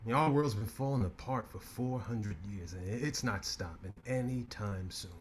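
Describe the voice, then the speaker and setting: An actor in a staged play reading, playing a sharp-tongued male lawyer who trades quick, teasing comebacks and turns grave and reflective. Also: fairly low pitch, natural voice. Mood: grave, weary.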